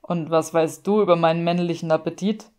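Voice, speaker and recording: A woman voicing a haughty, dry-witted rich young gentleman in an audiobook, drawling and deadpan. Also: on the low side, fairly quick, natural voice. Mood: dry teasing.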